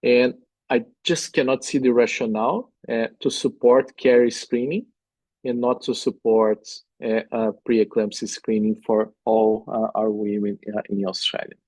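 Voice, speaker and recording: Husky Brazilian-accented man lecturing. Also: mid-range voice, fairly even intonation, a little slow, darkish tone, no echo, low-quality recording. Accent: Brazilian Portuguese. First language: Portuguese. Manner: earnest lecturing, many "uh" fillers, non-native phrasing. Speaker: obstetric specialist presenting at a workshop. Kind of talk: conference presentation with slides.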